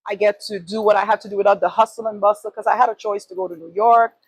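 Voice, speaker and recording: A woman in her forties with a Guyanese accent speaks earnestly, often repeating her key points for emphasis. Her voice is breathy and husky, recorded dry and close. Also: medium pitch, fairly even intonation, fast, dry recording, low-quality recording. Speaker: guest, esthetician and business owner. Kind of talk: casual interview answers.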